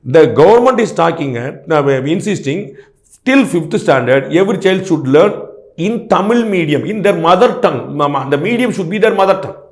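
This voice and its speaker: A man's voice: a little high, expressive, moderate pace, echoey room. Male interview guest, pointed and repetitive, with many fillers and restarts. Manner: rhetorical questions, frequent fillers and restarts. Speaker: political guest commentator. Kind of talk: interview answers, argumentative.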